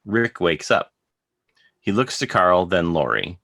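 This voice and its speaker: A man's voice: low-pitched, very animated, slow pace, creaky, a bit bright, no echo, studio quality. A man narrating scripted stage directions for a drama reading.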